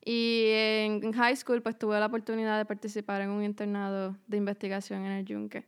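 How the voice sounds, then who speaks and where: A young woman from Puerto Rico with a Puerto Rican accent, a university student answering questions in a podcast interview, measured and pausing on many fillers. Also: medium pitch, monotone, smooth. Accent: Puerto Rican Spanish. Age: young adult.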